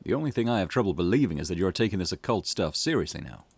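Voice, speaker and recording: A man's voice: low voice, very animated, rapid-fire, breathy edge, no echo, exaggerated voice. A man voicing an eager, cheeky junior police detective in a visual novel, chatty and teasing. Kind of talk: acted visual novel dialogue. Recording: music behind the voice.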